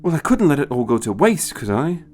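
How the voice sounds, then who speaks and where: Man voicing a brisk, practical woman, pitched up. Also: sing-song, a little mellow, faint music behind. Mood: snarky. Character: warm, practical, snarky adult woman companion. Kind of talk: dramatized storybook reading.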